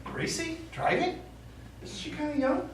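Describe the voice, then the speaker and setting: A man's voice: very high-pitched, unhurried. Man acting a stubborn old father, heavily echoing. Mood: forgetful, stubborn. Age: older. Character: stubborn, forgetful elderly Italian-American widowed father. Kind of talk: live stage play acting. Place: small indoor theatre stage, recorded from afar. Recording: very echoey theatre, distant mic, faint noise.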